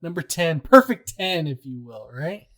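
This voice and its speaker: A man's voice: a little high, big pitch swings, a little slow, fairly bright tone. A man hosting a casual podcast chat, agreeable and slangy, swearing now and then and echoing what is said. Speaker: podcast host.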